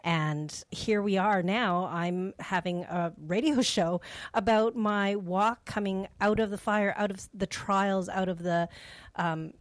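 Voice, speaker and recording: A woman's voice: lowish voice, no echo. A middle-aged woman from Canada hosting a faith show, giving personal testimony in a conversational, reflective and earnest way. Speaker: host of a faith radio show.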